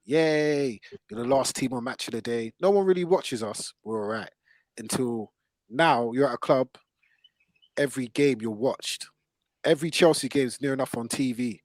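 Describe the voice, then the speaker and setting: A man with a London accent hosts a casual football debate in a dry, close recording. He speaks emphatically at an ordinary male pitch, repeating key phrases to press his points. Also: normal speed, dry recording. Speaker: football podcast host.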